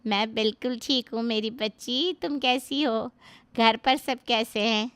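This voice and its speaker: A woman's voice: fairly high pitch, fairly even intonation, a little slow, a bit bright, clear, resonant tone, no echo. A woman playing a loving mother who talks affectionately with her married daughter over the phone. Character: doting middle-aged mother, gentle. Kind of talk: Hindi family drama acting.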